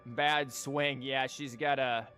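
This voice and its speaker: A man's voice: mid-range voice, steady tone, takes it slowly, bright, forceful tone, faint music behind. Slangy, sweary male game streamer. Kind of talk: casual live gameplay commentary.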